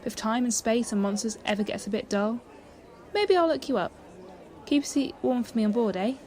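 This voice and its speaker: A woman playing a curious, composed young space traveller in an audio drama, precise and gently teasing. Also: a little high, brisk, a faint crowd, lightly acted. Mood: fond, teasing.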